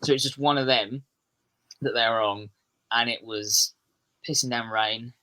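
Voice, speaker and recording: English man from the southeast, guest on a chat show, rambling and self-mocking, bright-toned delivery. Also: medium pitch, fairly even intonation, measured pace, low-quality recording. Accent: English, southeast England. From England. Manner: rambling, restarts sentences, self-deprecating humour. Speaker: podcast guest, metal musician. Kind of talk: casual podcast chat.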